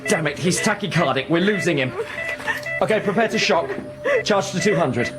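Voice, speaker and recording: An actor playing an earnest, hard-pressed hospital doctor in a radio drama, clinical and explanatory in manner. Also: male voice, sits high, animated, normal speed, loud music behind the voice. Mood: urgent. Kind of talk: naturalistic radio drama acting.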